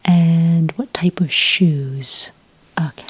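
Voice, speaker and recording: A woman speaking in a soft, whispery voice close to the mic, playing a polite, unhurried agent in an ASMR roleplay. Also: sits low, steady tone, slight background noise. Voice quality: breathy, whispery. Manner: gentle, unhurried, politely procedural. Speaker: ASMR roleplayer acting as a werewolf report agent.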